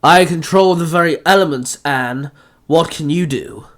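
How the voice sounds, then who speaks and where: A man playing a boastful pirate who wields lightning in an audio drama, challenging and forceful. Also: a little high, unhurried, lightly acted. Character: bold, boastful male pirate with lightning powers.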